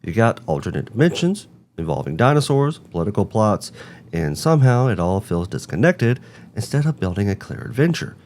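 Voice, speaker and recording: A man's voice: fairly low pitch, sing-song, brisk, darkish tone, a little hiss or hum. A man narrating a scripted review as host, with emphatic, rhetorical phrasing. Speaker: host of a video game movie review show.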